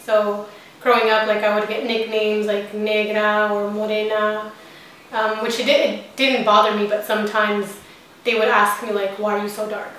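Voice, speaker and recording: A woman's voice: mid-range voice, little pitch movement, moderate pace, a bit bright, a little hiss or hum. A woman answering interview questions casually, hesitant, with many fillers and restarts. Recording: echoey room from a distance.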